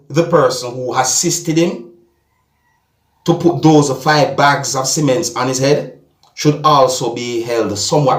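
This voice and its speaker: A Jamaican man commenting on video clips for his vlog, talking deliberately and addressing his viewers over and over. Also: medium pitch, roomy sound. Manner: repetitive, addresses "viewers and subscribers", slow and deliberate. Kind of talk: casual commentary.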